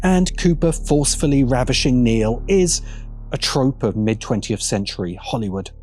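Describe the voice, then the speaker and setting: A man's voice: mid-range voice, animated, moderate pace, a little mellow, a little hiss or hum. A man narrating a scripted video essay as its presenter.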